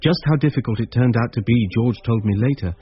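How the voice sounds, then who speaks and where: A man's voice: mid-range voice, brisk, breathy edge. Troubled man, muffled radio sound. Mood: brooding, uneasy. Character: troubled, reflective man narrating his own story. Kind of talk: dramatic first-person radio narration. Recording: slightly muffled radio broadcast.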